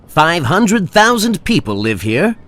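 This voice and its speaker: A tiny townsman in a children's tale. His voice is very high and swings wildly up and down, proud and welcoming as he calls out. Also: big pitch swings, measured pace, a bit bright, heavily acted. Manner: proud, calling out. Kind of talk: children's animated storybook acting.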